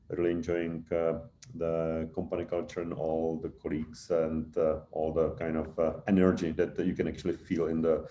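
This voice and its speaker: Deep, flat-voiced Czech man on a call. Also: roomy sound, a little hiss or hum. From Czech Republic. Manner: hesitant, many fillers and restarts. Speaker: guest speaker, engineer. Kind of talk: online info session talk. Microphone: video call.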